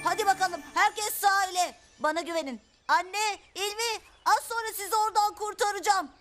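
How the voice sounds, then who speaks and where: A woman's voice: very high-pitched, moderate pace. A woman playing a brave, clever young Viking child in a dubbed children's cartoon. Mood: confident, commanding. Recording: loud music behind the voice.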